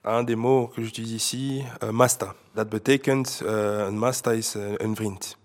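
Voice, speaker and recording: Belgian man, low flat delivery, halting casual explainer who switches languages. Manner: hesitant, many fillers, switches between French and Dutch. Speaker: interviewee.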